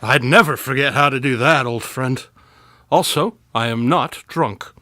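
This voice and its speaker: An actor playing a pompous, melodramatic, tipsy detective in a radio drama, wordy and theatrical. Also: male voice, medium pitch, unhurried, pushed and bright, no room sound. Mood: boastful, tipsy. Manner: theatrical, wordy, self-important.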